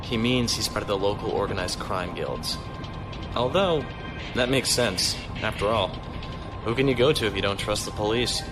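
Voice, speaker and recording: A man's voice: lowish voice, normal speed, lightly acted. A man playing an earnest, wary military fugitive who asks questions in an audio drama. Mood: puzzled, reasoning. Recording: music behind the voice.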